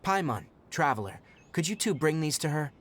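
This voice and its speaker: An actor playing a composed, knowledgeable young male forest ranger in a video game, speaking in an articulate, measured and formal way. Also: on the high side, expressive, a bit bright, slight background noise. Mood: earnest, calm. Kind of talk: scripted video game voice acting. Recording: background music under the voice. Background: game music.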